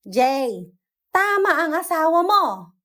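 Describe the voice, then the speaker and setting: A woman's voice: extremely high pitch, very animated, slow pace, pitched above the performer's own voice, heavily acted. A woman voicing a scornful, scolding older mother in a dramatized story. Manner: sharp, scolding, exasperated. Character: scornful older mother nagging about her idle daughter.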